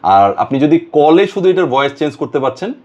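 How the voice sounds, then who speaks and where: A man's voice: fairly high pitch, sing-song, fairly quick, darkish tone, dull audio. A Bangladeshi man hosting a casual product demonstration, mixing English words and phrases into his talk. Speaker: product reviewer and video host.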